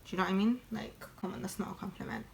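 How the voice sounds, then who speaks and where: Young woman, chatty, candid, mid-pitched voice, casual makeup vlog. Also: steady tone, racing, vocal fry, a little hiss or hum. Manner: chatty and candid, lots of fillers. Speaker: beauty vlogger. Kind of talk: casual get-ready-with-me chat.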